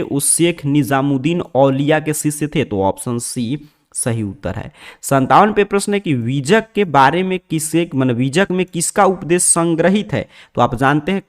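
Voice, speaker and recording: A man with a Bihari accent teaching exam prep, reading out questions and explaining each answer in a formulaic, instructional way. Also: mid-range voice.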